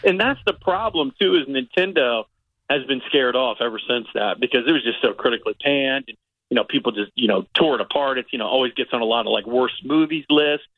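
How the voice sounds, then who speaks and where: A man's voice: mid-range voice, fairly quick, phone-quality sound. Middle aged guy doing casual movie reviews on radio, chatty and rambly, no room echo. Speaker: film critic guest on a radio show.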